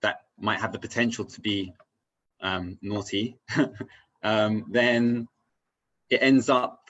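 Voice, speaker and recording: Muffled, low-voiced man talking reflectively. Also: little pitch movement, fairly bright tone. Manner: halting, searching for words, checking with "right?". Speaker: interview guest on spirituality. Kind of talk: casual, reflective conversation.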